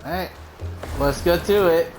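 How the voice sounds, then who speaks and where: A woman streamer giving casual gaming commentary, reacting with loud exclamations and plenty of swearing. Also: on the low side, measured pace, soft background music.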